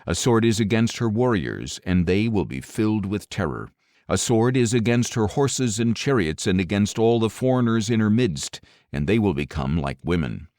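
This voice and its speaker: A man narrating scripture as an audiobook in a solemn, dramatic style, measured and emphatic, with phrases that fall away at the end. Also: lowish voice, darkish tone, no echo, studio quality.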